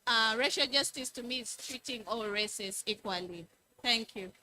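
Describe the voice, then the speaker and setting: A woman's voice: on the high side, normal speed, sharp tone, poor audio. A young woman from Uganda, a climate activist, giving a short, hesitant statement at a panel.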